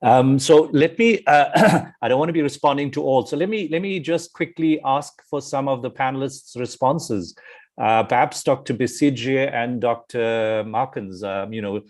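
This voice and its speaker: Man moderating a panel, polite, prompting, mid-pitched voice. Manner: polite, gently prompting, repeats words. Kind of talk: conference moderation.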